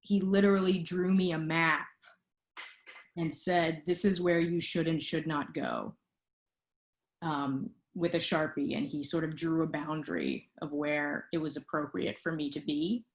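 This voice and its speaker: A woman's voice: low-pitched, fairly even intonation, unhurried. A woman in her thirties speaking reflectively as an interview guest, with frequent fillers. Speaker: guest, lighting designer. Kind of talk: conversational interview.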